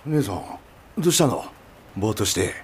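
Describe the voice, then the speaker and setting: An actor playing an exasperated younger brother in a family business, blunt and nagging, with a Mikawa Aichi dialect, in a radio drama. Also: male voice, fairly low pitch, sing-song, a little fast, slight background noise. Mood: blunt, grumbling.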